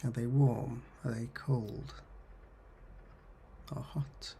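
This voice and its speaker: A man leading a guided meditation in a husky, gravelly voice, giving gentle, soothing instructions in short phrases with pauses. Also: medium pitch, steady tone, slight background noise. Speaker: counsellor guiding mindfulness. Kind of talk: guided meditation, body scan.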